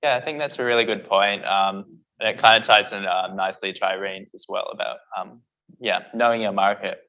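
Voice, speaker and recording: A man's voice: fairly low pitch, fairly even intonation, a little fast, pushed and bright. A man moderating a panel discussion, politely passing questions along with brief remarks. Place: seminar hall before an audience. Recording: muffled lo-fi event recording.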